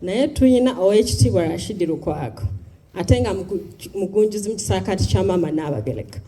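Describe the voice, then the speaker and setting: A speaker explaining clan customs in Luganda, pausing often. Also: medium pitch, expressive, warm tone. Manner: halting, explanatory. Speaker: panelist. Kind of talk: explaining tradition. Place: community hall.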